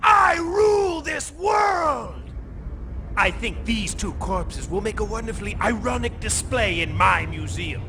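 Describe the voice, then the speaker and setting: A theatrical game villain, high-voiced and swooping wildly in pitch. He gloats like a megalomaniac, drawing his words out over background music. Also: big pitch swings, slow, raised voice, heavily acted. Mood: triumphant gloating. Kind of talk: video game cutscene acting.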